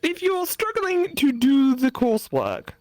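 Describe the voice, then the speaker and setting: A woman's voice: high voice, huge pitch swings, a little slow, darkish tone, dry recording. A woman giving casual, blunt and sarcastic co-commentary on a let's play, swearing freely.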